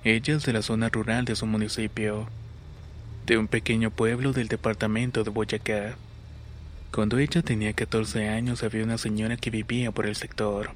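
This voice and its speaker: A man narrating a scripted scary story in a measured, serious reading. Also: on the low side, a little hiss or hum. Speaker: narrator of listener-submitted horror stories.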